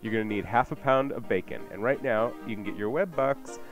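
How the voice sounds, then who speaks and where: A man's voice: fairly low pitch, fairly quick. A man hosting a radio cooking show, chatting informally as he walks through recipes. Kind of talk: conversational recipe presentation. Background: music.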